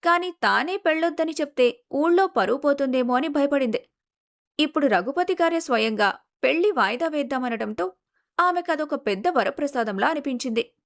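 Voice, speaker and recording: A woman's voice: high-pitched, expressive, moderate pace. A woman reading a scripted family story as a dramatic narrator.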